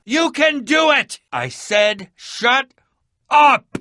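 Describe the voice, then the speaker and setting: An actor voicing an eccentric, excitable middle-aged adventurer in a video game, with a pompous, wordy, theatrical manner. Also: male voice, very high voice, very slow, dry recording, studio quality, exaggerated voice. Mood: excited, loud. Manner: theatrical, pompous, wordy, swinging from muttering to exclaiming. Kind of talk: animated video game voice acting.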